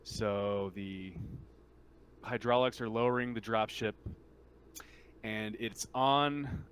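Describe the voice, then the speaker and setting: Low-voiced man running a tabletop game. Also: steady tone, fairly bright tone, a little hiss or hum. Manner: halting, informal delivery. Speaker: game master. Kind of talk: narrating a tabletop roleplaying game.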